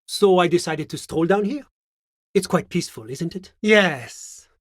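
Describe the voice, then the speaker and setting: A man playing a smug, urbane government official in a video game, with a French accent and a pompous, measured, courteous manner. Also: fairly high pitch, no echo, clean studio sound. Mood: breezy, polite. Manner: genteel, pompous, measured, with little questioning hums. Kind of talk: theatrical game character dialogue.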